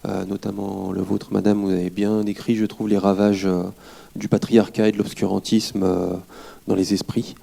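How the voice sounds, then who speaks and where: A man from France speaking from the floor at a public debate, polite and earnest, halting with fillers. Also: lowish voice, creaky, warm tone. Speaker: audience member at a public debate.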